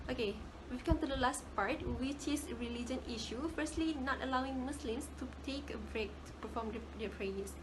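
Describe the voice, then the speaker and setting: Young woman, Malaysian English accent, high voice, class presentation, noisy hiss behind. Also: fairly quick, hardly any pauses. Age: young adult. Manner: casual, repeats words while searching for phrasing. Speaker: student presenter.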